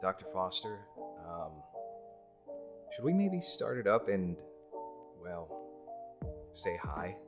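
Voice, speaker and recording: An actor playing an awkward, meek male lab assistant, hesitant and soft-spoken, in an audio story. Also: deep voice, big pitch swings, slow pace, long pauses, music in the background, full character voice. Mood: tentative. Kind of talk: audio story character acting.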